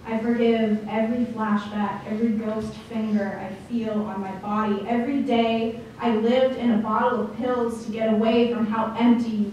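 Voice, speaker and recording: Echoey, higher-pitched woman reciting slam poetry. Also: darkish tone, recorded from a distance, highs cut off. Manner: deliberate, dramatic phrasing with pauses. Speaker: slam poet. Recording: echoey room from afar, faint noise.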